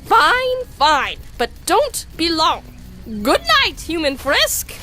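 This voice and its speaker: A woman voicing an earnest, fussy young male skeleton in a comic dub, loud, with emphatic, formal diction. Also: sing-song, takes it slowly, exaggerated voice. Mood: indignant, loud. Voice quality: loud, high-pitched. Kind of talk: animated comic dub acting. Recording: music behind the voice.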